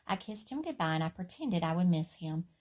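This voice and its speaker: Expressive husky woman reading a children's story. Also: on the low side, a little fast. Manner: expressive, emphatic, playful. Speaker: read-aloud storyteller. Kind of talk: animated children's story read-aloud in a child narrator's voice.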